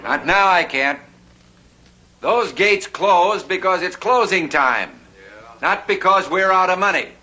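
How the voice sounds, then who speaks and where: A man's voice: sits high, animated, takes it slowly, pushed and bright. A man narrating a vintage TV drama and playing a flamboyant, genial 1860s banker. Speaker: host and actor. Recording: muffled old TV soundtrack with hiss.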